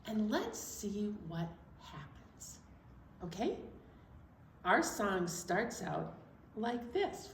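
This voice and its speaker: A woman's voice: medium pitch, very animated, drawn-out words, pauses often, a little hiss or hum. Echoey, distant woman hosting children's storytime. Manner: encouraging, addresses young viewers directly. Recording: roomy echo, distant mic.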